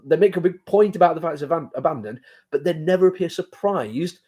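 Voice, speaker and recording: Man, blocked-up cold voice, quick rambling comic review. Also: fairly high pitch, expressive, dark tone, slightly rough. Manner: rambling, hesitant with many fillers. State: has a cold, sore throat, blocked sinuses. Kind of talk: casual spoken review.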